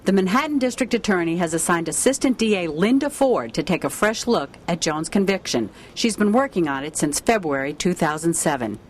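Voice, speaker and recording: Low-voiced woman narrating an investigative report. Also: expressive, brisk, few pauses, a little hiss or hum. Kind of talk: scripted investigative news narration and interviewing.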